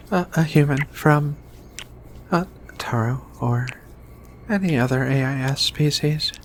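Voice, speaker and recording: Woman as a slow, low-voiced gentle giant alien woman. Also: slight background noise, poor audio. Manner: tender, hesitant, murmuring hums between phrases. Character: gentle giant female alien xenobiologist, affectionate, bookish. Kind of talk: soft-spoken ASMR roleplay.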